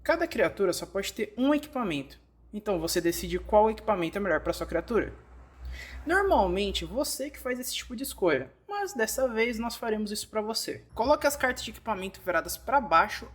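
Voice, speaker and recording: A woman's voice: medium pitch, sing-song, brisk, husky. Brazilian woman narrating a tutorial. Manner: explanatory, step by step. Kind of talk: scripted instructional narration, fan dub.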